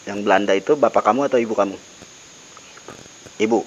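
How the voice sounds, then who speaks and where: Low-voiced man on lo-fi recording. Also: fairly quick. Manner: repeats questions and echoes back answers. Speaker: host questioning a supposedly possessed person. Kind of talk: unscripted paranormal investigation interview. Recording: noisy lo-fi field recording with insects.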